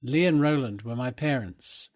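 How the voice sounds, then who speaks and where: A man's voice: mid-range voice, normal speed, no echo. Older English man narrating, dry close sound. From England. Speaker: guest speaker recounting family history. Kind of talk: scripted documentary narration. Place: recorded in a family home.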